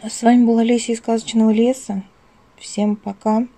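A woman's voice: fairly high pitch, flat delivery, moderate pace, mellow, soft background music. A woman talking casually as a craft vlogger at home, rambling and interrupting herself in an affectionate way. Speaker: craft vlogger, channel host. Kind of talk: casual chatty craft vlog. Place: at home, indoors.

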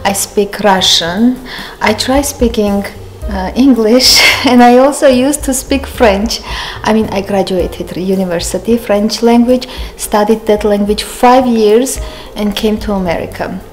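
A woman's voice: mid-range voice, unhurried, roomy sound, low-quality recording. A woman in her thirties whose first language is Armenian, hosting a cooking show in a large home kitchen and chatting casually, correcting herself and laughing at herself as she cooks. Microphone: handheld camera close up, then camera on counter.

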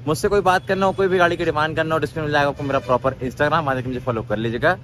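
A man's voice: on the high side, steady tone, racing, a bit bright, slight background noise. A young man hosting a casual vlog, walking a used car lot outdoors and chatting with questions and repeated "okay, okay". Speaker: car vlogger host.

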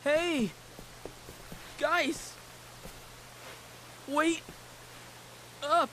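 A woman voicing an excitable young boy hero in a video game. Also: sits high, very slow, a little hiss or hum, exaggerated voice, rough recording. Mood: alarmed.

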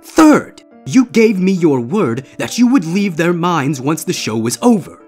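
A man's voice: on the high side, big pitch swings, a little slow, a little mellow, breathy edge, faint music behind, voice pushed up, heavily acted. A man voicing a horrified, exasperated male stage magician who scolds vehemently in a storybook reading. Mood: outraged, desperate.

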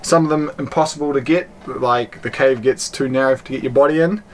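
A man's voice: mid-range voice, fairly quick. A man in his thirties talking casually to a camera, like a sailing vlogger on a boat. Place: small cabin and deck of a sailboat at sea. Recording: clear boat and outdoor background noise.

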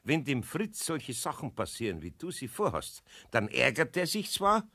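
A man's voice: mid-range voice, wildly swooping pitch, normal speed, sharp tone, heavily acted. A man playing a sensible, grumbly but patient older craftsman with a Bavarian accent in a children's radio play.

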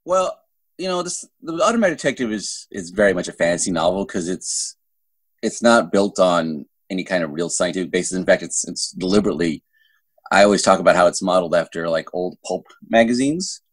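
A man's voice: sits low, lively intonation, fairly quick, no room sound. A middle-aged man chatting casually as an interview guest, rambling and often restarting his sentences mid-thought. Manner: rambling, self-interrupting with repeated false starts. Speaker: guest novelist. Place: indoor room at home. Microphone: phone at arm's length on a video call.

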